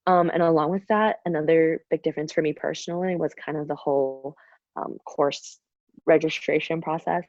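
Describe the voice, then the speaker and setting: A young woman from Shanghai hosts a student webinar over a video call, talking casually with lots of "kind of" and "um." Her voice sits very low. The sound is dry and muffled, like laptop call audio. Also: fairly even intonation, moderate pace, a little mellow, dry recording. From Shanghai, China. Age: young adult. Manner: chatty, many fillers and "kind of". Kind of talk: casual presentation.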